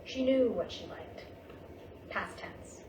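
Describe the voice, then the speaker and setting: A woman's voice: fairly high pitch, takes it slowly, darkish tone, reverberant. Stubborn, sentimental grieving mom played by a woman, plaintive stage drama, distant mic, light noise. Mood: wistful. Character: Catherine, grieving Japanese American mother in mid-fifties, sentimental, stubborn. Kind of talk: staged reading of a play.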